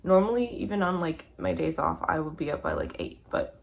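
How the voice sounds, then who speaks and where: Young woman, just woke up, croaky tired voice, kinda low, rambly chatty vlog. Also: expressive, fairly quick. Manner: chatty, rambling with many "like" fillers. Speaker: vlogger. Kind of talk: casual pregnancy update vlog.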